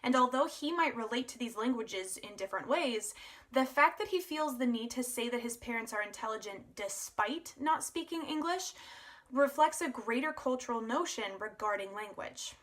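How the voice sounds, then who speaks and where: A woman's voice: fairly high pitch, animated, moderate pace, fairly bright tone. A woman presenting a prepared academic analysis as a scripted student narration.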